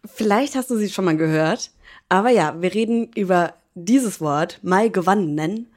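A woman, raised bilingual in English and German, co-hosting a casual chat podcast, playful and quick to laugh. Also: medium pitch, a little mellow. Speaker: podcast co-host.

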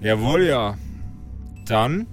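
A man's voice: medium pitch, lively intonation, drawn-out words, faint music behind. Playfully formal man running a game. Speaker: game master. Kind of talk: casual moderating of a tabletop game.

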